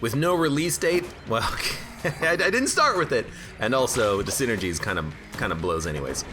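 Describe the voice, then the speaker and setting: Man, game streamer, congested from allergies, rambling self-joking chat, lively swooping pitch, into his desk mic. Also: normal speed. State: stuffed up from allergies. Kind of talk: casual let's-play commentary. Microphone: own desk microphone. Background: game music and gunfire.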